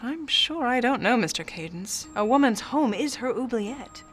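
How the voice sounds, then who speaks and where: An actress playing a cunning, sardonic 1940s socialite film star in a noir radio play, with haughty, formal diction. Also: female voice, a little high, lively intonation, normal speed, fairly bright tone. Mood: coolly amused.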